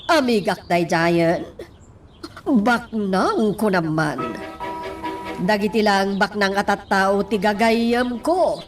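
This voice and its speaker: A woman with an Ilocano accent playing an anxious, well-off middle-aged mother in a radio drama, with fretful, drawn-out delivery. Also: medium pitch, animated. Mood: sociable. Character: anxious well-off mother, fretting over her invested money. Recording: distant mic, loud music behind.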